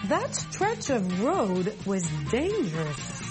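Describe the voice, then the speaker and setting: A high, lively female voice teaching English phrases slowly and carefully, over a background music bed. The delivery is scripted and clearly paced. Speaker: English teacher segment presenter. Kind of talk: scripted language lesson.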